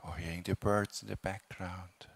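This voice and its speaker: A man leading a guided meditation as a teacher, speaking calmly in short phrases with long, unhurried pauses. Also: sits low, gravelly, slightly rough. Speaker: meditation teacher, Buddhist monk.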